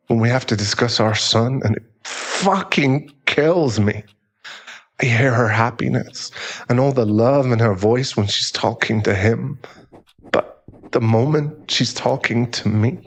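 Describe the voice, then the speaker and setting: A man playing a bitter, guilt-ridden divorced husband in an audio roleplay, halting and emotional, with some swearing. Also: medium pitch.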